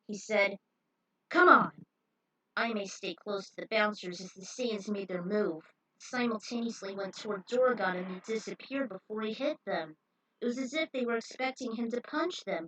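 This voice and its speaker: A woman's voice: fairly high pitch, rough recording. A woman narrating action fan fiction aloud as a dramatic story reading.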